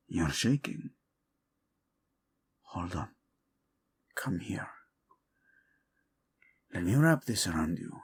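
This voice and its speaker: Slow, teasing king played by a man. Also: low voice, husky, heavily acted. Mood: soft, intimate. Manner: teasing, playful, tender, chuckling often. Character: teasing, devoted male king, playful with his wife. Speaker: audio roleplay voice actor. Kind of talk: intimate romantic audio roleplay acting.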